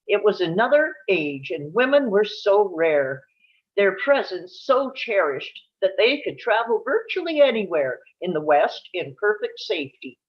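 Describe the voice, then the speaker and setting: A woman's voice: medium pitch, very animated, measured pace. A woman reading scripted narration aloud at a live table read, telling it like a story and now and then stumbling over a word. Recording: dull, lo-fi sound.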